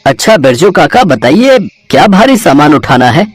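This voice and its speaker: A man's voice: a little high, big pitch swings, normal speed, breathy edge, dry recording, soft background music. A man voicing an honest, hardworking village samosa seller who speaks eagerly and helpfully in a cartoon story. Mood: cheerful. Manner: warm-hearted, eager, helpful.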